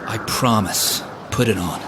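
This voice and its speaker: An actor playing an earnest, protective, devout husband in an audio drama, urgent and pleading in an intimate way. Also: male voice, fairly low pitch, a little slow, noisy background, close to an everyday voice. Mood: pleading, reassuring. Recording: clear ambient sound behind voice.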